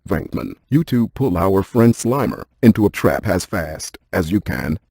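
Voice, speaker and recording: Brainy deadpan ghost hunter for a game, lowish smooth-edged mellow voice, very dry close sound. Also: male voice, a little slow, dry recording, heavily acted. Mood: cautious. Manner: deadpan, precise, technical. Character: deadpan, brainy male ghost hunter, dryly cautious scientist. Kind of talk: scripted video game character dialogue.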